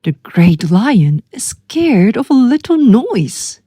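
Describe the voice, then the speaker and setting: Woman acting teasing monkey, high and swooping. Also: sing-song, slow, warm tone, slightly rough, no room sound, studio quality, full character voice. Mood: mocking glee. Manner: teasing, exclaiming. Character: mocking, chattering jungle monkeys.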